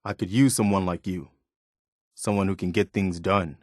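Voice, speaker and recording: A man playing an earnest, weary, idealistic militia leader in a video game, speaking in a measured, sincere way. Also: low-pitched, fairly even intonation, darkish tone, no echo, studio quality, close to an everyday voice. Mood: earnest, reassuring. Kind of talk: video game character dialogue.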